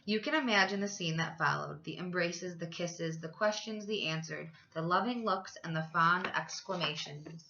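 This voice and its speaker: A woman's voice: fairly low pitch, normal speed, few pauses, pushed and bright, dull audio, slight background noise. A woman reading a children's story aloud as a teacher, expressively, with dramatic emphasis for young listeners.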